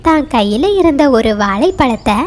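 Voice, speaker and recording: A woman's voice: high-pitched, animated, moderate pace, a little mellow, faint music behind. A woman reading scripted storybook narration for children.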